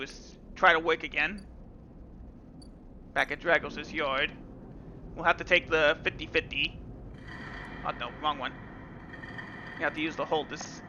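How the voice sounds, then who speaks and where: High-voiced man reading game text. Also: bright, forceful tone, a little hiss or hum. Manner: dramatic reading with casual asides. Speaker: gaming video host. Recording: dull lo-fi sound with game music.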